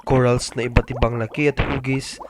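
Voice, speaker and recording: A man's voice: mid-range voice, level tone, normal speed. Man reading a children's story, noisy recording. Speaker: storybook reader. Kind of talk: children's read-aloud narration.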